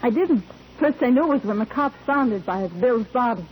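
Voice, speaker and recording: An actress playing a guarded club performer on trial in a 1940s radio drama, plain-spoken, terse and slangy. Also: female voice, a little high, animated, moderate pace, darkish tone, lightly acted. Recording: noisy old 1940s radio recording.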